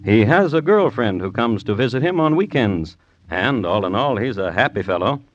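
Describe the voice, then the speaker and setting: An actor playing a wry, sardonic host and narrator of crime stories, deliberate and deadpan in wording, in 1950s radio style. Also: male voice, mid-range voice, lightly acted. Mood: wry, matter-of-fact. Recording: dull vintage radio recording.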